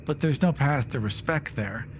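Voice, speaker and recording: A man's voice: medium pitch, lively intonation, normal speed, a little hiss or hum. A man playing a tabletop game, casually narrating what his character thinks and does.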